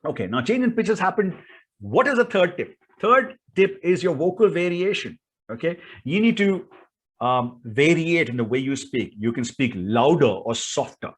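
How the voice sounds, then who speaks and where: A man's voice: a little high, big pitch swings, normal speed, breathy edge. A man with an Indian accent giving an instructional talk as a speaking coach, emphatic and repetitive, often checking in with the listener. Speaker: voice and public speaking coach, presenter.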